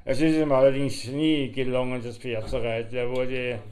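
An actor playing a reflective man recalling a friend in a radio play, speaking in a halting, deliberate way. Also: mid-range voice, little pitch movement, darkish tone, natural voice.

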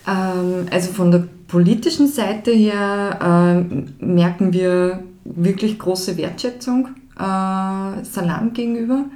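A woman's voice: mid-range voice, fairly even intonation, slow, warm tone. A woman, a project founder talking as a podcast guest, emphatic and full of hesitation fillers. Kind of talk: casual conversation. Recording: roomy echo.

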